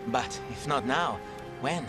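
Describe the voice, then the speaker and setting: Humble fisherman-scholar in fantasy game, earnest, mid pitch, loud music behind. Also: animated, takes it slowly. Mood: earnest, resolute. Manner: thoughtful, earnest, archaic phrasing. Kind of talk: fantasy game cutscene acting.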